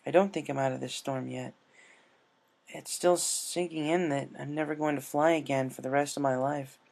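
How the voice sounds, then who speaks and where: A man voicing an excitable, earnest female cartoon pegasus pony with an emotional delivery in a story reading. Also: mid-range voice, normal speed, gravelly, close to an everyday voice. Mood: tender, vulnerable.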